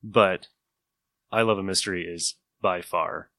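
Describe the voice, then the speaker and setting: A man with a bright, forward voice hosts a relaxed review podcast. He talks casually and animatedly, in a close, dry recording. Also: low voice, a little slow, vocal fry, no room sound. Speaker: podcast host. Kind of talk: casual chat reviewing old radio shows.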